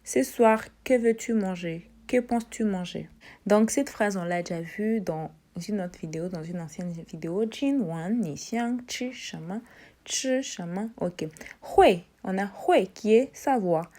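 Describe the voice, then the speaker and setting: A woman teaching a casual language lesson, repeating words slowly and explaining each in turn. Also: a little mellow. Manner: drills tones in a high voice.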